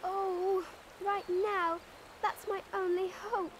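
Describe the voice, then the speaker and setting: A woman's voice: very high-pitched, flat delivery, slow pace, a little hiss or hum. A woman voicing an earnest, tender-hearted young girl in a cartoon, with a wistful, hopeful manner. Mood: worried, wistful. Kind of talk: animated cartoon acting. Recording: loud background music.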